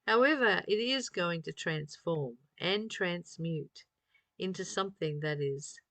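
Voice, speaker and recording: Female spiritual reader and teacher, unhurried and reflective, mid pitch, close dry sound. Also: animated, fairly bright tone, no echo. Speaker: spiritual study teacher reading aloud and commenting. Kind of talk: reflective spiritual reading with informal commentary.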